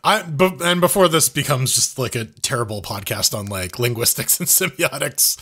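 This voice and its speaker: A man's voice: medium pitch, expressive, moderate pace, pushed and bright, breathy edge. A man co-hosting a podcast in casual chat, stammering and repeating words as he jokes playfully. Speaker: podcast co-host.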